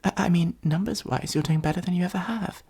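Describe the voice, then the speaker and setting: A woman playing a possessive supervillain who is secretly fond of a hero, in an intimate ASMR roleplay, speaking softly and breathily with an earnest, gently wry delivery. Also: lowish voice, fast. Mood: hurt, pleading. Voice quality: soft, husky, breathy, often near whisper.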